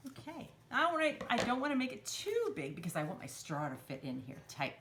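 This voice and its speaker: Woman with lively pitch hosting kids' craft time. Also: brisk, slight background noise. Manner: simple instructional phrasing, refers to herself in third person. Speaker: children's storytime craft host. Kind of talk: step-by-step craft demonstration for young children.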